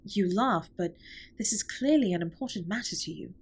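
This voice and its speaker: A woman playing a formal, caring alien spaceship captain in an intimate audio drama, speaking in a measured, precise way with a breathy, husky voice. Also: medium pitch, expressive. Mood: sincere, formal. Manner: formal, measured, precise wording with few contractions. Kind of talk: scripted roleplay audio drama, intimate comfort monologue.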